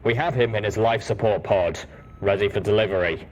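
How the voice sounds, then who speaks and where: Man voicing a deep, blunt armored soldier. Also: a little mellow, lightly acted, poor audio. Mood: blunt, measured. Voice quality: deep, rumbling. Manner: blunt, measured, stoic. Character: gruff, blunt male armored soldier pony.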